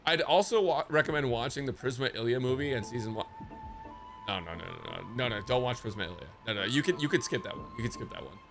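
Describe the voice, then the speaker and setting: A man's voice: mid-range voice, very animated, fast, vocal fry, over music. A man livestreaming a game reaction, talking casually to his chat in a rambling, sweary, slangy way.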